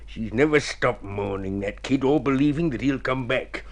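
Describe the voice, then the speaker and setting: A man's voice: medium pitch, moderate pace, natural voice. A man playing a sly, persuasive schemer in a radio drama, coaxing and bossy. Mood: coaxing, confident. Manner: coaxing, smooth-talking, bossy. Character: sly, persuasive male swindler coaching an accomplice.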